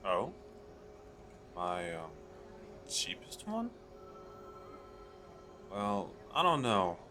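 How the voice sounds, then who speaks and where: A man playing an eager, chatty fantasy merchant in an ASMR roleplay, pitching his magical wares to the listener in a coaxing, conversational way. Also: wildly swooping pitch, extremely slow, bright, forceful tone, soft background music, heavily acted. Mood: coaxing, reluctant. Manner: coaxing salesman, conversational, hesitant asides.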